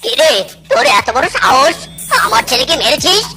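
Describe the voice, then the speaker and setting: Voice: high-pitched, very animated, normal speed. A performer voicing a furious, threatening animal father in a children's cartoon, with music behind. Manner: blustering, threatening.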